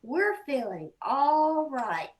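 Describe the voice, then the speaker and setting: A woman reading a children's picture book aloud as an expressive storyteller. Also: high-pitched, drawn-out words. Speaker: storybook reader. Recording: roomy echo.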